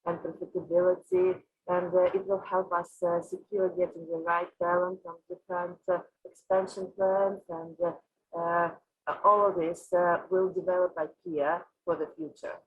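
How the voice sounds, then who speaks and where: A woman from Russia with a Russian accent, answering interview questions as a company executive, haltingly and with frequent filled pauses. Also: lowish voice, flat delivery, husky, phone-quality sound, faint music behind. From Moscow, Russia. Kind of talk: interview answers on an online panel.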